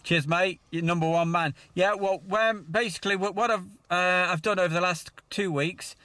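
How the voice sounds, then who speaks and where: A man's voice: high voice, fairly even intonation, moderate pace, bright, forceful tone, dry recording. A man chatting casually as controller of a CB radio net, in a hesitant, chatty way. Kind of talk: casual amateur radio chat. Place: mobile radio setup.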